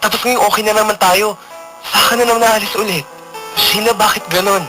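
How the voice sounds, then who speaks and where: A man's voice: high-pitched, steady tone, brisk, over music, close to an everyday voice. An actor with a Filipino accent playing an earnest, lovestruck young man in a radio drama, imploring and insistent. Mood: hurt, questioning. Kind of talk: emotional radio drama acting.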